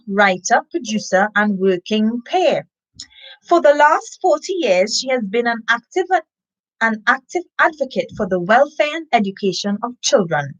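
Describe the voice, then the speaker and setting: A woman's voice: medium pitch, dull audio. A woman from Trinidad doing a children's story time, reading expressively and talking casually straight to her young listeners.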